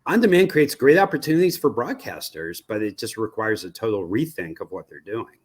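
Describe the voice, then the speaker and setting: An American man giving conversational, analytical interview answers as an expert guest, thoughtful and halting, often restarting his phrases. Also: on the low side, lively intonation, slightly rough. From United States. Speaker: media research executive, interview guest.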